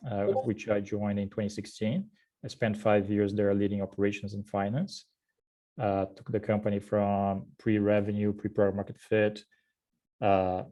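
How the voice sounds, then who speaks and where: A man's voice: fairly low pitch, mellow. A man in his forties with a Brazilian accent, giving a friendly introduction on a video call, with frequent filler sounds and self-deprecating remarks. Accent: Brazilian Portuguese. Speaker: guest speaker, head of corporate strategy. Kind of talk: casual webinar self-introduction.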